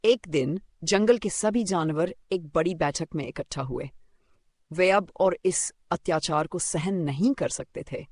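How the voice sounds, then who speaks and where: A male storyteller reading a scripted children's fable. His voice is slightly high for a man and noticeably creaky, captured very dry with no room sound. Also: a little slow, darkish tone, no room sound.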